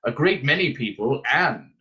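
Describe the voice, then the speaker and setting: A man as a shrewd old mentor, animated. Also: mid-range voice, normal speed, muffled recording. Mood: confiding. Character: shrewd, gruff old male mentor and adviser.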